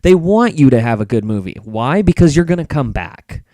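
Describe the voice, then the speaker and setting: Male, American, podcast film reviewer. Average pitch, dry sound, opinionated and explanatory, conversational. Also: very animated, normal speed, dark tone, no room sound. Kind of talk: casual podcast discussion.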